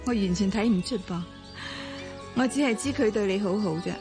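An actress with a Hong Kong accent playing a composed, perceptive young woman in a radio drama, speaking in a measured, probing way. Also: mid-range voice, over music, lightly acted. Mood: calm, probing. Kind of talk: Cantonese radio drama acting.

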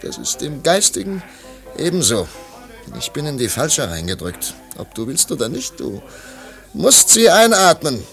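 An actor in a German radio play voicing a disillusioned, wistful male artist who talks in a theatrical, halting way. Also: a little high, huge pitch swings, gravelly, a bit bright, faint music behind, full character voice. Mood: resigned, ironic.

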